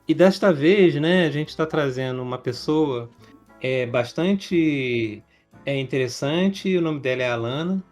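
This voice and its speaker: A man's voice: fairly high pitch, measured pace, darkish tone, soft background music. A man hosting a podcast, introducing his guest in a rambling, hesitant way with many fillers. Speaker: podcast host.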